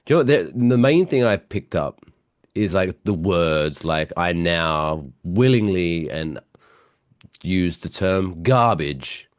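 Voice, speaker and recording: A man with a British accent, softened by years in America, telling stories as a podcast co-host while stuffy with a cold. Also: deep voice, animated, takes it slowly, clear, resonant tone, no room sound, studio quality. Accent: British English softened by years in the US. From England. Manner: chatty, self-mocking storyteller. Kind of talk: casual podcast chat.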